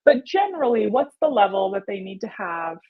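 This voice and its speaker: A woman's voice: medium pitch, sing-song, a little fast. Woman hosting a livestream, muffled audio. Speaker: live-stream host. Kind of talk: live-stream Q&A hosting.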